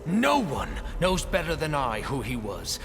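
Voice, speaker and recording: An actor playing a grandiose leader in a video game who believes he is a reborn ancestor, speaking in a pompous, theatrical, deliberate way. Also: on the high side, huge pitch swings, vocal fry, fairly bright tone, exaggerated voice. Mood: exalted. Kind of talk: video game cutscene acting. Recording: long hall reverb, music behind.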